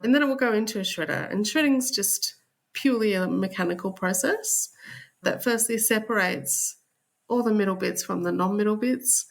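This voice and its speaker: Australian woman with a gravelly edge. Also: on the low side. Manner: explanatory, conversational. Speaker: company guest on a podcast. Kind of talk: conversational podcast interview.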